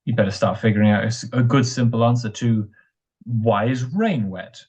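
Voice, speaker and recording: A man playing a calm, blunt, reassuring ex-soldier friend in a radio drama, with a husky voice. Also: mid-range voice, normal speed, lightly acted. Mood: firm, frank. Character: steady, reassuring ex-soldier friend, practical and wry. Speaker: amateur radio drama actor.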